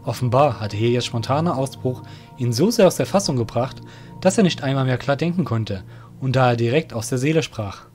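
A man's voice: mid-range voice, lively intonation, fairly quick, darkish tone, faint music behind. A man narrating a scripted fantasy audio drama.

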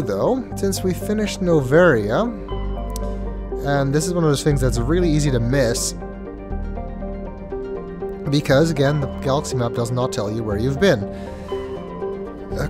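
A man's voice: on the high side, huge pitch swings, measured pace, pauses often, loud music behind the voice. A congested man with a stuffy nose giving casual, hesitant commentary as he plays through a video game. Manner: hesitant, with many ums and uhs. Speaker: let's play host.

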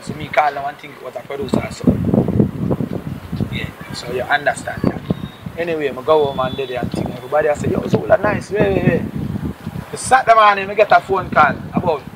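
A young man with a Jamaican accent, a reggae singer telling his story at length in patois during an outdoor interview. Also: on the high side. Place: rooftop outdoors overlooking a city. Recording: loud outdoor noise, wind and vehicles. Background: wind and traffic.

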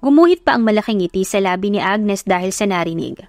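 A woman narrating a romance audiobook in a steady storytelling voice. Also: medium pitch, fast talker, no room sound, studio quality, soft background music.

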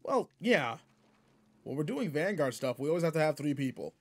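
A man's voice: a little high, very animated, normal speed. A man playing a seasoned but laid-back space guardian mentor in a video game roleplay, casual, slangy and wry as he talks to a partner. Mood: teasing, instructing. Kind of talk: video game roleplay audio, in-character monologue.

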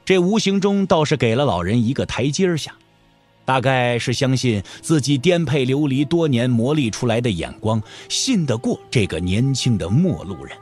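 Male audio drama narrator: colloquial, expressive, widely varied intonation, music faintly underneath. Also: mid-range voice, normal speed. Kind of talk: scripted dramatic storytelling narration.

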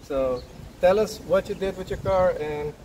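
A man's voice: high-pitched, a little slow. A man, chatting casually as he hosts a car vlog. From Aruba. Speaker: car vlogger, host. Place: outdoors at open garage and yard. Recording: outdoor ambience, light background noise.

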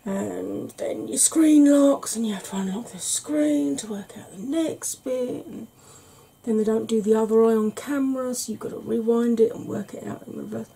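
A woman in her forties, a makeup vlogger, talking through an ASMR makeup tutorial slowly and gently in a soft, breathy voice that often drops to a whisper, recorded at home. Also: on the high side. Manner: slow, gentle, patient explaining. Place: small home room.

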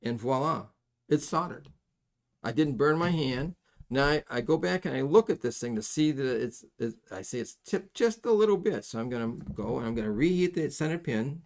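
A middle-aged man giving a casual hands-on soldering tutorial, folksy and halting as he thinks aloud. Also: mid-range voice, animated. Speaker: electronics soldering instructor. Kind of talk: hands-on tutorial, explaining casually while working. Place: indoors at a workbench.

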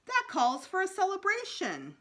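A woman's voice: very high-pitched, wildly swooping pitch, moderate pace, pushed and bright, voice pushed up, full character voice. A woman voicing a jovial, joking male shoe salesman in a storybook, with exaggerated cheer.

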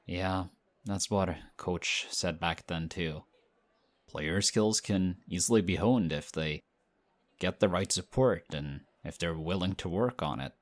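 A man voicing a friendly, modest young male team captain in an audio roleplay, talking in a relaxed, chatty way. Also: deep voice, unhurried. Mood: excited, friendly.

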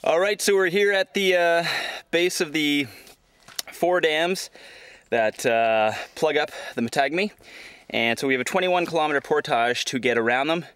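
A man in his thirties talking unscripted to a camera outdoors on a canoe trip, with a halting delivery. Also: a little high, a bit bright, smooth, no room sound. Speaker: expedition filmmaker and presenter. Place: outdoors by a wild river and trail. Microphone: handheld camera at arm's length. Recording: noisy outdoor camera audio with ambient sound.

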